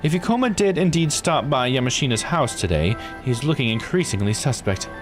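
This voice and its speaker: A polite, formal investigator from a visual novel, voiced by a man speaks in a raised, expressive pitch. The delivery is precise and composed, with music playing behind it. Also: a little fast, natural voice. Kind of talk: voicing visual novel dialogue.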